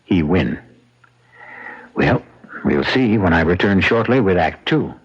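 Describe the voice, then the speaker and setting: Low-voiced, portentous man hosting radio mystery. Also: lively intonation, a little hiss or hum. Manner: portentous, measured, pausing for effect. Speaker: host and narrator of a radio mystery series. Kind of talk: dramatic scripted radio narration. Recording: dull, lo-fi radio broadcast recording. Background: music.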